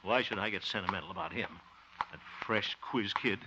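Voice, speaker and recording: An actor playing a bumbling, soft-hearted middle-aged radio homemaker who grumbles comic asides, in 1950s radio comedy style. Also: male voice, lowish voice, a little fast, natural voice. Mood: grumbling. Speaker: radio show lead. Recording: dull hissy 1950 radio broadcast.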